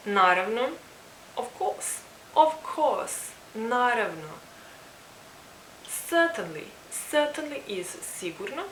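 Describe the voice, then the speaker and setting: A woman with a Serbian accent giving an informal language lesson, deliberately repeating phrases for learners. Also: fairly high pitch, very animated, a bit bright. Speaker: language teacher presenting a lesson. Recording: roomy echo with faint background hiss.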